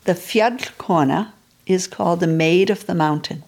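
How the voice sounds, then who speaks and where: An older woman speaks in a lowish voice, explaining a tradition in plain words. Her delivery is careful and measured. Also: darkish tone. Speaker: honored community elder. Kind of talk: explaining in an interview.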